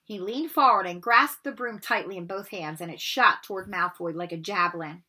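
A woman in her forties reading a children's novel aloud in an expressive storytelling style. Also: medium pitch, moderate pace, slightly rough. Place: bedroom at home, indoors.

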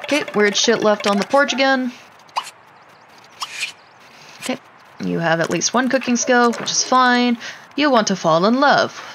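Animated woman doing casual game commentary. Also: on the high side, moderate pace, soft background music, low-quality recording. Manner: talks to the game characters, muttering to self; casually sweary. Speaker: gaming video host. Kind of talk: casual let's play commentary.

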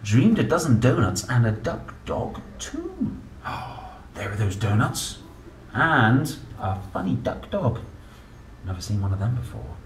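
A man's voice: medium pitch, a little mellow. A man in his twenties reads a children's book aloud in a playful, sing-song way, addressing young listeners directly. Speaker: children's book reader and presenter. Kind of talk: animated read-aloud of a children's alphabet book. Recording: roomy, distant mic with faint background noise.

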